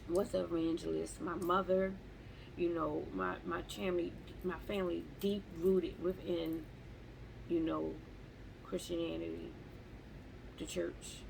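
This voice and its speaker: A woman talking slowly and haltingly in a reflective live journal at home, often repeating words as she searches for them. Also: fairly low pitch, creaky, noisy background. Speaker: vlogger.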